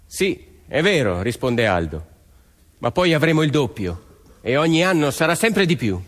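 A man acting an earnest peasant farmer who tells his family's story in expressive theatrical narration, voicing others as he goes. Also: fairly high pitch, normal speed. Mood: animated. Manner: expressive, dramatic storytelling, voicing quoted neighbours and relatives. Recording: long reverb, music behind.

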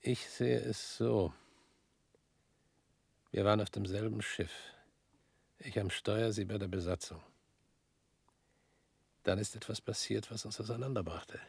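A man playing a husky, humbled ex-prosecutor. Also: on the low side, fairly even intonation, measured pace, pauses often, no echo, heavily acted. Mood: earnest. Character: male former prosecutor, humbled, earnest. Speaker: radio drama actor. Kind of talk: radio play acting.

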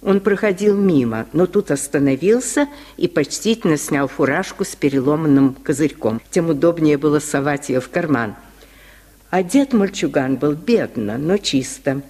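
A man narrating a literary tale in a measured, expressive way, on a 1950s radio recording. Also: a little high, big-room sound. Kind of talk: scripted literary storytelling. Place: radio studio.

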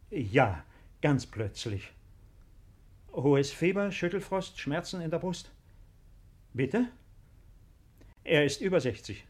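An actor in a 1950s radio play playing a courteous, protective baron who speaks earnestly and in a measured, resolute way. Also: male voice, mid-range voice, darkish tone, heavily acted.

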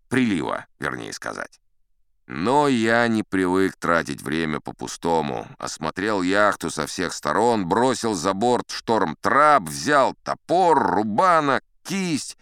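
An actor playing a chatty, boastful old sea captain, spinning tall tales in a theatrical audiobook manner. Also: male voice, low voice, creaky, a bit bright, clean tone, dry recording, studio quality, full character voice. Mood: wry recounting. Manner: theatrical, leisurely, old-fashioned conversational raconteur. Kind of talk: dramatized audiobook storytelling.

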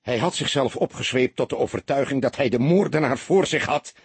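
A man's voice: mid-range voice, fairly quick, slightly rough, no room sound. A man reading a detective novel as a dramatic audiobook narrator.

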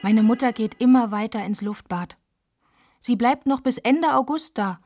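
A woman narrating literary text for a radio play in a measured, clear manner. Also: fairly high pitch, a little mellow.

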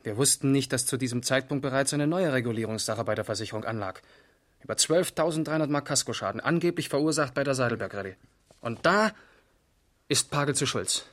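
A man acting a methodical East German police investigator in a radio play. He speaks in a medium-pitched voice, controlled and precise, sober and matter-of-fact. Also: a little fast, fairly bright tone, close to an everyday voice. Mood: sober, reporting. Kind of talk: 1970s German radio crime drama acting.

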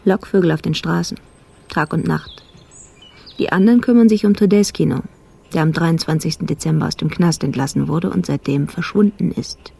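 An actress playing a sober police investigator who recounts a murder case in clipped, factual phrases, in a radio drama. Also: female voice, deep voice, moderate pace, vocal fry, warm tone, slightly rough, exaggerated voice. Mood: sober, reporting. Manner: clipped, factual, report-like delivery. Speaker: actor in radio play. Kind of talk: scripted radio drama narration.

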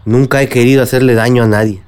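A man's voice: mid-range voice, fairly even intonation, fast talker, darkish tone, clear, resonant tone, natural voice. A man with a Mexican accent playing a middle-aged, remorseful village leader and father in a radio drama. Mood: remorseful, earnest.

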